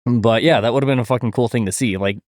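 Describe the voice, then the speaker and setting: A man co-hosting a podcast in casual, sweary chat, halting and informal as he explains. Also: medium pitch, a little mellow, no room sound, studio quality. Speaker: podcast co-host.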